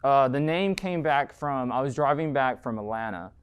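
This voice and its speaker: The smooth-voiced American guy at the front of a college classroom, chatting students through how he runs his business. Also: mid-range voice, expressive, normal speed. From United States. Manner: conversational, peppered with "like" and "right?". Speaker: entrepreneur giving a guest lecture. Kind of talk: informal talk and Q&A.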